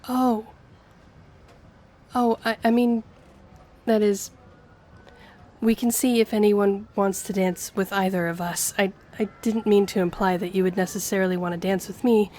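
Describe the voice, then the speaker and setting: A woman's voice: medium pitch, fairly even intonation, a little slow, dark tone, close to an everyday voice. A woman playing a friendly, earnest young woman with a shy romantic streak in an intimate audio roleplay, speaking to the listener. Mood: flustered. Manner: chatty, earnest, flustered hesitations when romantic. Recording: clear background ambience noise.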